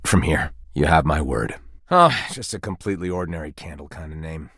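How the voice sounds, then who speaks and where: An actor playing a humbled, ordinary knockoff candelabra in a video game, plain-spoken and sheepish. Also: male voice, extremely low pitch, expressive, a little fast, a bit bright, slightly rough, dry recording, clean studio sound, heavily acted. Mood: sheepish, resigned. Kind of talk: scripted video game character acting.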